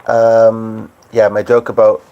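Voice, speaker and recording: A man's voice: fairly low pitch, monotone, slow pace, dark tone, dull audio. A man hosting a live stream, chatting casually and meandering with lots of fillers and restarts. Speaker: podcast host. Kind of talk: rambling live-stream chat.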